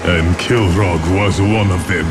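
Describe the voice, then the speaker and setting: A man playing a solemn, weary warrior monk who tells war lore in deliberate, dramatic narration for a fantasy game cinematic. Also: low voice, very noisy. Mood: solemn, grave. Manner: slow, solemn, deliberate storytelling.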